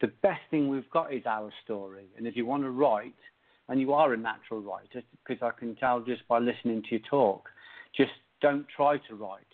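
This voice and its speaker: A middle-aged man, an author being interviewed, speaking earnestly and emphatically. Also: mid-range voice. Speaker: author, guest. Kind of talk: interview conversation. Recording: tinny phone line.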